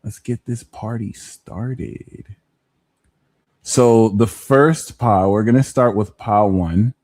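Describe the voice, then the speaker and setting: A man's voice: fairly low pitch, slow, a little mellow, dry recording. A man hosting a live pick-a-card tarot reading, talking in a playful, slangy way with jokes in between. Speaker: tarot reader and host.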